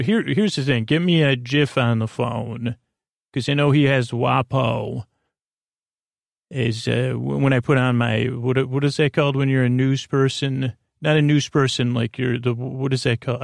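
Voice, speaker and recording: Creaky-voiced man rambling, very dry close sound. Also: mid-range voice, flat delivery, normal speed, no echo. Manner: meandering, hesitant, keeps losing his thread and doubling back. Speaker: podcast host. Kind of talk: rambling bedtime monologue.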